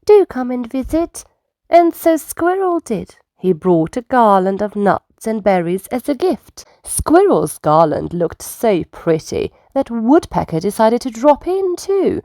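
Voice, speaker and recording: A woman reading bedtime stories aloud to young children in an expressive, unhurried storytelling manner. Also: fairly high pitch, no room sound, clean studio sound.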